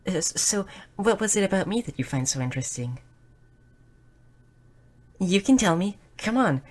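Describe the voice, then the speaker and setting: A woman voicing a bookish, excitable young goblin girl in an audio roleplay, curious, shy and slightly stammering. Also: sits low. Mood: excited, flustered. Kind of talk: scripted audio roleplay addressed to the listener.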